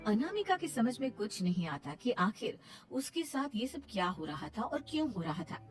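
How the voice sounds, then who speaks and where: A woman's voice: a little high, very animated, moderate pace, over music. A woman reading scripted narration for a cartoon moral story.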